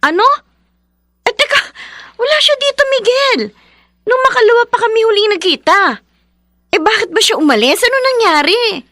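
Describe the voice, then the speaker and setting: A woman's voice: very high voice, animated, normal speed, pauses often, sharp tone, no room sound, studio quality, full character voice. A woman playing a chatty, concerned young best friend in a Filipino radio drama, with theatrical, exclamatory reactions. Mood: concerned surprise.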